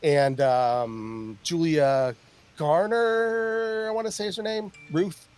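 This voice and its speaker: Rambling, higher-voiced male podcast host. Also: steady tone, slow pace, a little mellow. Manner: rambling, searches for words with many fillers. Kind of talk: casual chat.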